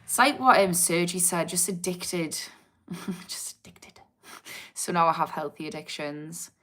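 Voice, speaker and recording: Candid Geordie woman with a lowish voice. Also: moderate pace, fairly bright tone. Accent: Geordie with a slightly posh twang. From England. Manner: candid, informal, rambling. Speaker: addiction therapist hosting a livestream. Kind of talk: casual livestream chat, reading and answering viewer comments.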